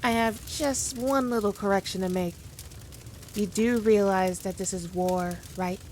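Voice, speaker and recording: A woman playing a powerful demon queen in an ASMR roleplay, condescending, teasing and theatrical, with deliberate, drawn-out phrasing. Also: mid-range voice, a little mellow, slight background noise, natural voice. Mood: sardonic, haughty. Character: powerful demon queen, haughty, teasing, dominant, toying with her foe. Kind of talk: scripted ASMR roleplay addressed to the listener.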